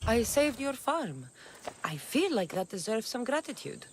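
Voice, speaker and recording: An actress playing a tough ancient Greek mercenary in a video game, with a dry, sardonic manner. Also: female voice, fairly high pitch, big pitch swings, measured pace, slight background noise. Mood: firm, dry. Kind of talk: video game cutscene acting.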